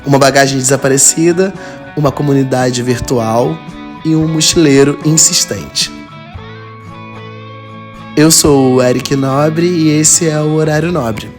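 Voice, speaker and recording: Man narrating a podcast over soft guitar. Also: a little high. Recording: soft guitar music behind.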